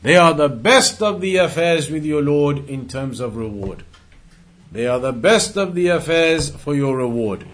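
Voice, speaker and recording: A man's voice: a little high, animated, a little slow, fairly bright tone. A man giving an Islamic lesson as a religious teacher, explaining emphatically and repeating and rephrasing his points. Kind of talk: Islamic lesson with recited Arabic quotations and English explanation.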